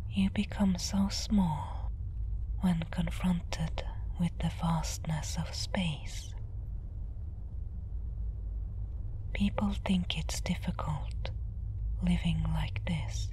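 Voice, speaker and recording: A woman playing a wistful space explorer in a whispery, soft-spoken ASMR roleplay, gently musing close to the microphone. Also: on the low side, a little hiss or hum. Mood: wistful, contemplative. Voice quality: whispery, breathy, unvoiced. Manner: slow, gentle, contemplative. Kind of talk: softspoken ASMR roleplay, intimate monologue.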